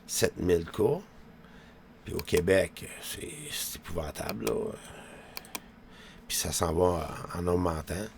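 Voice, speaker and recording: Low, gravelly Quebec French man. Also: takes it slowly, pauses often, background noise. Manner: colloquial, with frequent verbal tags. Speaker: vlogger. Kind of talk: rambling casual monologue to viewers.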